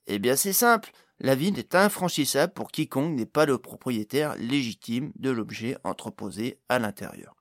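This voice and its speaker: A man's voice: mid-range voice, animated, moderate pace, hardly any pauses, dry recording, clean studio sound, lightly acted. A man voicing a young male gnome intern in an audiobook fantasy tale, hesitant at first, then emphatic and exclamatory. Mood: explanatory, emphatic. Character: young male gnome, precarious intern, eager and indignant magic-device expert.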